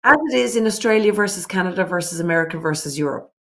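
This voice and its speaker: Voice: mid-range voice, expressive, fast talker, gravelly, bright, forceful tone. A business owner answering interview questions earnestly and reflectively, working through ideas point by point.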